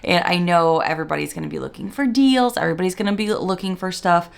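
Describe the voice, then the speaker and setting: A woman around forty talks to her viewers from her home beauty room, her voice low and gravelly with morning rasp. She rambles cheerfully, interrupting herself mid-sentence as she goes. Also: animated, quick pace. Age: 40s. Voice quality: raspy morning voice. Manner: rambling, chatty, self-interrupting. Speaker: beauty vlogger. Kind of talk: casual chatty product review.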